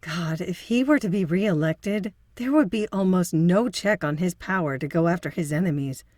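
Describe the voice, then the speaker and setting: A woman's voice: on the low side, normal speed, darkish tone, dry recording, studio quality, close to an everyday voice. A woman playing an earnest, affectionate psychotherapist in audio drama dialogue.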